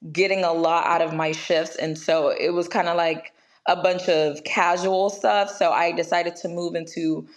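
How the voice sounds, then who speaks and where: A woman's voice: fairly low pitch, flat delivery, moderate pace. A woman in her twenties from Ghana, a nurse chatting casually as an interview guest with lots of "like" and "you know". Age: 20s.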